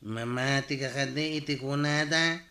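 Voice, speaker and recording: A man's voice: mid-range voice, fairly even intonation, normal speed, bright, forceful tone. A man playing a teasing tricycle driver and drinking buddy in an Ilocano radio comedy, with an Ilocano Filipino accent.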